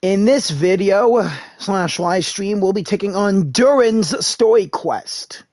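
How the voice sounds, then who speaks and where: A man's voice: sits high, takes it slowly, darkish tone, dry recording, clean studio sound. A man hosting a gaming video with casual, rambling commentary that often corrects itself.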